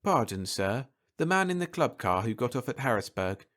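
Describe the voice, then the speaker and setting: Male reader as deferential railway porter in an audiobook, formal, courteous, uneasy, middle-pitched. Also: very animated, normal speed, fairly bright tone. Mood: worried, polite. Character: worried, deferential train porter.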